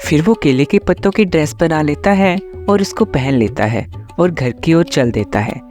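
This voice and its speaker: A man's voice: fairly high pitch, normal speed, a little mellow, faint music behind. A man narrating a scripted children's cartoon story as storyteller.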